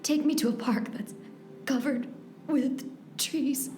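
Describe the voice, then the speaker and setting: An actress playing a devoted, plucky heroine in a parody radio drama, with a theatrical, sentimental delivery. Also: female voice, high voice, fairly even intonation, slow pace, mellow. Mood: weak, wistful. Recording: roomy echo, music underneath.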